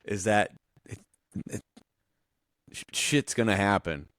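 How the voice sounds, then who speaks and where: A man's voice: fairly low pitch, slow, a bit bright, no echo, clean studio sound. A man co-hosting a podcast, brainstorming casually in loose, slangy, sweary language. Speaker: podcast co-host.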